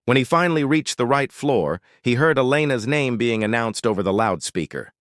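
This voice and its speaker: A man narrating a scripted dramatic story in a measured, dramatic way. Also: mid-range voice, expressive, no echo, studio quality.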